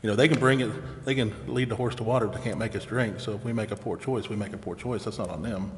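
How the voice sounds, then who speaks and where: Low-voiced American man in an echoey chamber. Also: steady tone, quick pace. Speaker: city council member. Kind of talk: deliberative public meeting discussion. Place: indoor council chamber. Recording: echoey meeting chamber with noticeable background noise.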